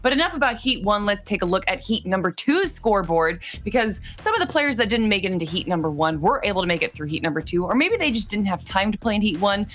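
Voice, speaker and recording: A woman's voice: medium pitch, sing-song, fast, few pauses, a bit bright. A woman presenting a live esports broadcast as host, with a conversational, enthusiastic delivery. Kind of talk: live esports desk commentary. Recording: music playing behind the voice.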